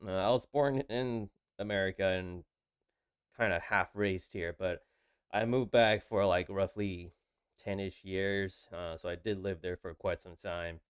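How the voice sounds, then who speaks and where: A man from Taiwan co-hosting a podcast, telling stories casually and haltingly, often circling back to rephrase. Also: sits low, fairly even intonation, clear, resonant tone, dry recording, studio quality. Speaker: podcast co-host. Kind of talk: casual conversational storytelling.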